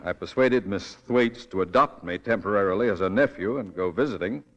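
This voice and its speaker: An actor playing a genial, determined gentleman detective, urbane and polite, in old-time radio drama style. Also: on the low side, fairly quick, natural voice. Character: genial, determined Scotland Yard detective, well-mannered gentleman. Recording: dull vintage radio recording, faint music.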